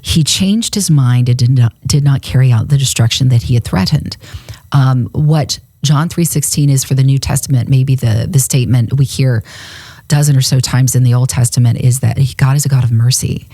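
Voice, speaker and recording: A woman's voice: rumbling low, normal speed, warm tone, no echo. A woman co-hosting a faith podcast, chatting casually and laughing often as she retells a Bible story. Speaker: radio and podcast co-host. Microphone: studio microphone.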